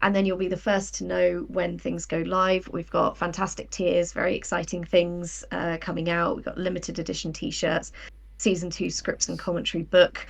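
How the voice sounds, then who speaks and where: A woman's voice: lowish voice, little pitch movement, normal speed, creaky. A woman, talking as an interview guest in a conversational, enthusiastic, explanatory way. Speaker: guest, audio drama writer and producer.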